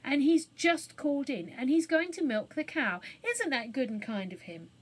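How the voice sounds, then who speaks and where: A woman's voice: sits high, sing-song, moderate pace, voice pushed up. A woman voicing a kind, bold little girl in a children's storybook, speaking in an earnest, polite, coaxing way. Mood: earnest, urging.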